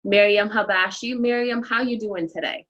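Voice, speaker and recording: A woman's voice: medium pitch, moderate pace, fairly bright tone. A woman hosting a podcast, upbeat, reading a guest introduction. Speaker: podcast host. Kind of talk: interview with a scripted guest introduction.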